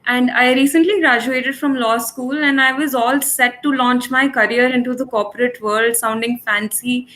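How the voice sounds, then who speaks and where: A woman's voice: high-pitched, steady tone, normal speed, hardly any pauses, a bit bright. A woman in her twenties, an intern, introducing herself politely and earnestly before asking a question. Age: 20s.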